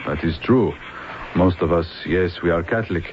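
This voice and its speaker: An actor playing a commanding, persistent police lieutenant in a radio drama, with a French accent and deliberate, formal phrasing. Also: male voice, low-pitched, natural voice. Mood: measured, explaining. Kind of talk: vintage radio drama acting. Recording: dull, noisy old radio recording.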